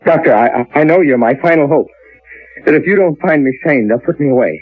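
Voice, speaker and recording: A man's voice: a little high, animated, a little fast, slightly rough, no echo. An actor playing a nervous, earnest writer in his thirties in old-time radio drama style. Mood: nervous, pleading. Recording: lo-fi narrowband old radio recording.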